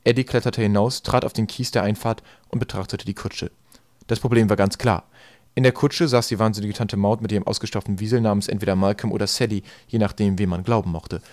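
A man's voice: lowish voice, fairly even intonation, fast, darkish tone, no echo. A man narrating a storybook as a scripted audiobook reading. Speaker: audiobook reader.

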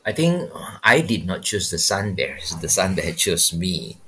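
A Malaysian man in his fifties with a very deep voice, answering questions as a podcast guest. He speaks haltingly, circling back over words as he thinks. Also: very animated, pushed and bright, slight background noise. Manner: halting, repeats words while searching. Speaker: guest, wildlife biologist. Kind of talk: podcast interview, personal story.

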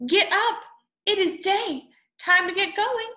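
A woman in her twenties reading a picture book aloud to young children in an expressive way, with drawn-out words. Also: extremely high pitch. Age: 20s. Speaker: storybook reader. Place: small room at home, indoors. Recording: echoey room.

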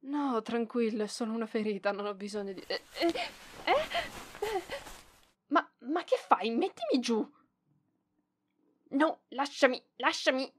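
Una donna che interpreta una giovane bibliotecaria orgogliosa e impacciata, scontrosa ma tenera, esitante e indignata, in un roleplay ASMR. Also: female voice, a little high, expressive. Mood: flustered, hesitant, then indignant, alarmed. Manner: hesitant, indignant, halting with sighs. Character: proud, flustered young female librarian, prickly but softening. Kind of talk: ASMR roleplay voice acting, one side of dialogue.

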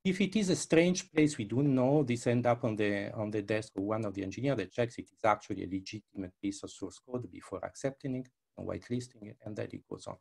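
An older man with a husky, creaky voice presents a software demo over a video call. He speaks English as a second language and often restarts or repeats his words as he explains. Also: fairly low pitch, brisk, hardly any pauses. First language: not English. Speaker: researcher presenting his work. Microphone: headset.

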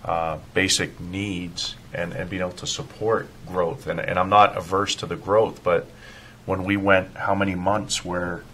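A man's voice: sits low, little pitch movement, measured pace, fairly bright tone, a little hiss or hum. A man discussing matters informally as a member of a public board. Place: indoor municipal meeting room.

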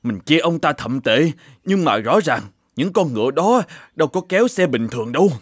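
A man voicing a grumpy, nagging older shoemaker in an animated folk tale, muttering and exclaiming as he talks. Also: on the high side, moderate pace, slightly rough, no room sound, clean studio sound. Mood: subdued, reflective. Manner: exclaiming, muttering to himself, scolding. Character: grumpy, nagging older shoemaker husband, scornful and quick to scold.

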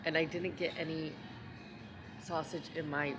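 A woman's voice: low voice, level tone, unhurried, over music. A woman chatting casually as a food vlogger, giving frank, descriptive opinions on what she tastes, thinking aloud as she goes. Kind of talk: casual chat while tasting food. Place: restaurant, public space. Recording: busy restaurant with loud crowd chatter.

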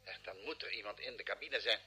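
An actor playing a male space crewman with a rough, husky voice in a vintage Dutch radio play. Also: lowish voice, quick pace, bright, forceful tone, exaggerated voice. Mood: cautious.

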